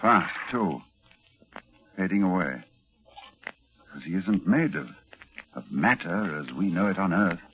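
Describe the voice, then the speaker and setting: An actor playing a grave, horror-struck scholarly doctor, with dramatic pauses and halting repetitions, in 1940s radio drama style. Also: male voice, sits low, lively intonation. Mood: hushed horror. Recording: muffled 1940s radio, loud music.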